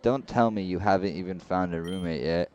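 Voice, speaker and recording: A man's voice: sits low, brisk, darkish tone, close to an everyday voice. A man reading the lines of a cocky teenage rival boy, taunting and smug. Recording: slightly dull lo-fi recording.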